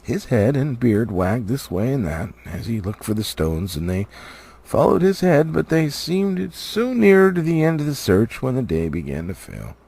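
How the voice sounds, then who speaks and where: Highly animated, gravelly male storyteller. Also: moderate pace. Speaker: amateur audiobook reader. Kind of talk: expressive storybook narration.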